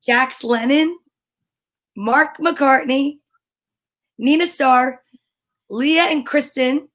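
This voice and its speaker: A woman's voice: sits high, very slow, fairly bright tone. A woman hosting a children's show, chatting casually with an informal, curious manner. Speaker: host of a children's story time show. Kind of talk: casual interview chat.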